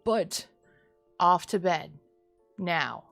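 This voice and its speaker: A woman voicing an impatient, scolding parent in a visual novel, with brisk, commanding orders. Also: fairly low pitch, expressive, gravelly, fairly bright tone, dry recording, soft background music, exaggerated voice.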